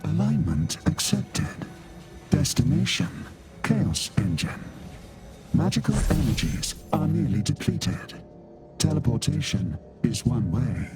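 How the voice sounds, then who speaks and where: A man voicing an emotionless ancient magical machine in a fantasy game, giving clipped, deliberate announcements. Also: on the low side, big pitch swings. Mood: impassive. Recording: echoey, music behind.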